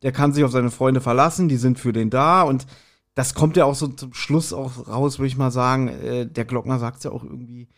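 A man's voice: mid-range voice, fairly even intonation, moderate pace. A man co-hosting a podcast, explaining his views in a colloquial, casual review chat. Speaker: podcast co-host. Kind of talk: casual review chat about audio dramas.

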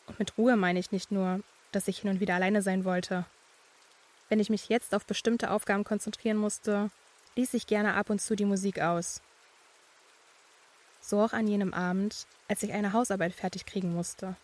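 A woman playing a young student who narrates her eerie experiences in a measured, scripted first-person style. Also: medium pitch, flat delivery, close to an everyday voice. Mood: measured, uneasy.

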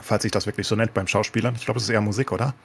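A man's voice: on the low side, fairly even intonation, racing, breathy edge. A man in a casual podcast discussion, talking colloquially and opinionatedly with English words mixed in. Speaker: podcast co-host.

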